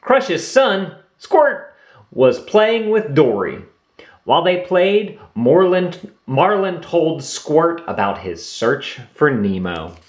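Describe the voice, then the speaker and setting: Slow, very expressive male storybook reader. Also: fairly high pitch. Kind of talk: children's read-aloud narration. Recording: roomy echo.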